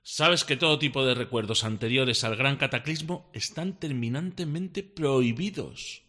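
An actor playing a gruff, distrustful creditor in a futuristic radio drama, curt and scolding, with an accent from Spain. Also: male voice, mid-range voice, normal speed, bright, forceful tone, lightly acted. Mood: suspicious, scolding. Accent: Spanish from Spain. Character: gruff, distrustful father and hard-nosed creditor.

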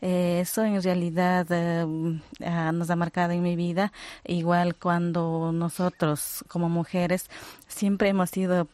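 A young woman from Ecuador, speaking as a radio guest in halting phrases with many hesitations. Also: fairly low pitch, flat delivery, a little mellow, no room sound. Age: young adult. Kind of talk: radio interview. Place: radio studio.

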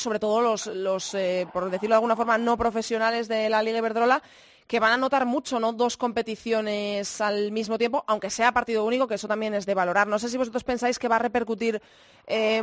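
A woman's voice: on the high side, no room sound, clean studio sound. A woman with an accent from Spain, hosting a sports talk show in a casual, chatty style. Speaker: radio show host.

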